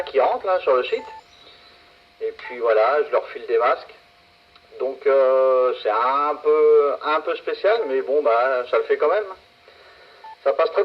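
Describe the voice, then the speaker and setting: A man chatting casually as a CB operator, hesitating and repeating himself. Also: mid-range voice. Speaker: CB radio operator. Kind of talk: casual chat. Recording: muffled CB radio transmission.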